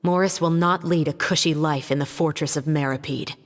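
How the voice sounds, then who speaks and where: An actress voicing a composed, principled young female law officer in a game cutscene, speaking in an earnest, deliberate, formal way. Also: sits low, steady tone, natural voice. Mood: grave, resolute. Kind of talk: dramatic video game cutscene acting. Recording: long reverb, music underneath.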